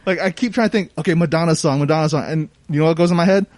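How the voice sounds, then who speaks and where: A man co-hosting a podcast, chatting casually and giving opinions in a halting way. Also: a little high, a little mellow, dry recording. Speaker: podcast co-host. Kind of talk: casual chat.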